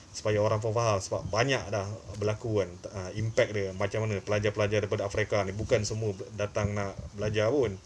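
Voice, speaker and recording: A man's voice: lowish voice, slight background noise. A man with a Malaysian accent giving informal, opinionated commentary in an emphatic, repetitive way, with frequent short filler tags. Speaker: online commentary channel host.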